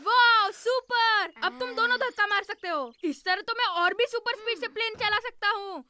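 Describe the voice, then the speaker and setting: A bossy little boy in a cartoon, extremely high and bright in voice. He boasts and bargains like a proud young playmate, over faint music. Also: normal speed, slight background noise, full character voice. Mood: boastful. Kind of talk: children's cartoon dubbing.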